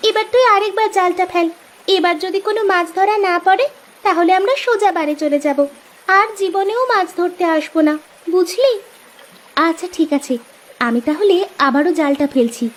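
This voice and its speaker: A woman's voice: very high-pitched, animated, moderate pace. A woman voicing a determined young village sister in a cartoon, speaking earnestly and chattily. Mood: frustrated. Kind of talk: Bengali moral-tale cartoon dubbing. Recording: loud music under the voice.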